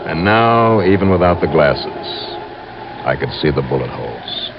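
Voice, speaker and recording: A man's voice: very deep voice, big pitch swings, unhurried, vocal fry, heavily acted. An actor playing a tough, determined male investigator in an old-time radio drama, narrating his case in a clipped, dramatic, hard-boiled manner. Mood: grim narration. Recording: muffled vintage radio recording, dramatic music behind.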